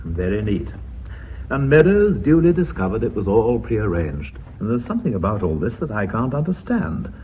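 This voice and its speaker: A man's voice: lowish voice, big pitch swings, normal speed. An actor playing a shrewd, commanding British knighted businessman in a radio play, wry and probing. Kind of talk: British radio drama acting. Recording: tinny, noisy old radio recording.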